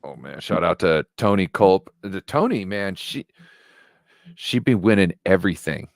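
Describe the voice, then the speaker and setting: A man's voice: low-pitched, expressive, unhurried, creaky, no room sound, clean studio sound. A man co-hosting a sports fan show in casual, slangy banter, often checking in with the listener. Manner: slangy, informal, peppers talk with check-in phrases.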